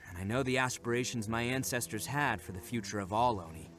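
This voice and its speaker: A man's voice: mid-range voice, quick pace, fairly bright tone, close to an everyday voice. A man playing a proud, brash, earnest young oni gang leader in a video game, speaking emphatically. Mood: proud, resolute. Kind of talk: scripted video game voice acting.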